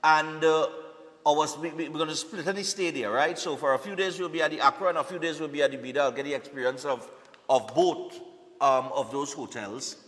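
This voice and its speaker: A man's voice: a little high, a little fast, a bit bright, big-room sound. A man hosting a live morning TV show, chatty and repetitive, often checking in with "all right?".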